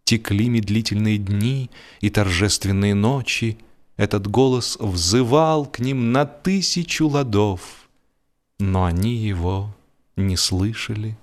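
Male narrator with measured, theatrical delivery. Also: on the low side. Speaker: audiobook narrator. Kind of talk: expressive scripted narration of a humorous literary story.

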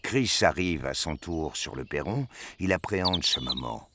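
A man's voice: a bass voice, lively intonation, a little slow, background noise, heavily acted. A man reading scripted narration for an audio drama as its storyteller.

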